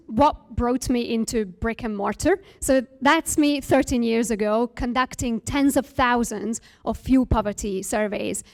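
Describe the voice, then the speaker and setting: A woman's voice: on the high side, gravelly. A woman from Hungary, giving an earnest presentation as a founder, with frequent fillers. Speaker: startup founder giving a talk. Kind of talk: presentation to an audience.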